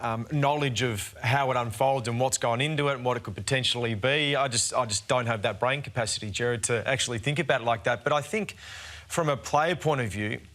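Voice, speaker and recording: Aussie guy chatting on a sports panel, hesitant and informal, medium pitched voice. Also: brisk, a bit bright. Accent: Australian. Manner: hesitant, informal, self-deprecating. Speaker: panellist, former player. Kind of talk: casual panel discussion.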